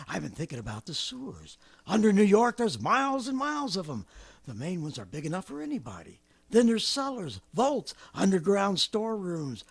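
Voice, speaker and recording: A raspy, fairly high-voiced former militiaman in an old radio play, rambling and boastful as he schemes. His pitch leaps about wildly, and he keeps laughing to himself. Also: full character voice. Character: scheming stranger, a former militiaman with a survival plan. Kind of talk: 1930s radio drama acting.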